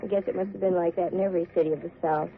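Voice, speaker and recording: An actress playing a weary young officer's wife in a 1940s radio drama, subdued, hesitant and earnest. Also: female voice, fairly low pitch, fairly even intonation, very fast, close to an everyday voice. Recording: tinny, noisy vintage radio recording.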